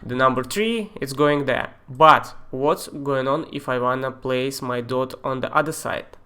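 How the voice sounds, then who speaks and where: Russian-accented guy doing a live screen tutorial, halting, lots of uh and um, mid pitch. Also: sharp tone, clean tone. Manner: halting, with fillers and non-native grammar. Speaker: software tutor.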